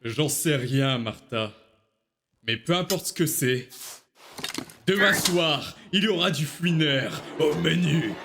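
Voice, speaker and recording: A man playing a menacing backwoods father who hunts people in an animated comedy fan dub. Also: a little high, slow, noisy background. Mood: sinister. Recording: music behind the voice.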